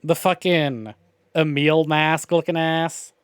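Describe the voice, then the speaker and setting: A man chats casually as he streams a story game. His voice sits a little high and is recorded very dry and close. He is opinionated, wry and freely profane. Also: steady tone, slow, clear, resonant tone, no room sound. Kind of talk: casual livestream commentary on a visual novel game.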